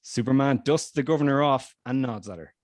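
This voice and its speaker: A man's voice: mid-range voice, brisk, darkish tone, dry recording, clean studio sound. A man reading screenplay stage directions aloud as narrator in a scripted table read.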